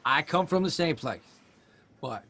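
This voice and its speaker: An actor playing an earnest, worried grown son in a naturalistic audio drama, halting and exasperated but affectionate. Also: male voice, fairly high pitch, lively intonation, bright, forceful tone, full character voice. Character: earnest grown son, a newspaper writer, worried about his aging father. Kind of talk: naturalistic audio drama acting at a live reading. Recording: music behind a live stage reading.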